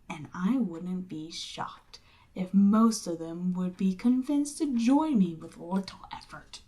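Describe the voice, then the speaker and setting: A woman voicing a seductive, imperious demon queen in an audio roleplay, speaking deliberately and theatrically, with breathy near-whispered phrases. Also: medium pitch, darkish tone. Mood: frustrated, pressing. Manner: slow, deliberate, theatrical, persuasive. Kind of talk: roleplay audio drama, ASMR monologue.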